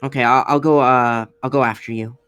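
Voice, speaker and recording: A man's voice: medium pitch, steady tone, brisk, fairly bright tone, clear, resonant tone, no room sound. A man chatting casually on an online panel, moderating and speaking as a voice actor in a hesitant, polite way. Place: online panel over voice call.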